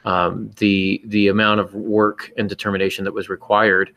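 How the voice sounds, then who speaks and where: A man's voice: on the low side, flat delivery, normal speed, muffled recording. A man co-hosting a podcast, talking reflectively in an earnest, emphatic, self-critical way. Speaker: podcast co-host and writer.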